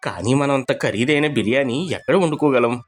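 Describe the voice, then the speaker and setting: A man voicing a poor, humble village laborer in an animated story, earnest and expressive. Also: medium pitch, moderate pace, natural voice. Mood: downcast. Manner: expressive, pleading. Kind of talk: cartoon storybook voice acting.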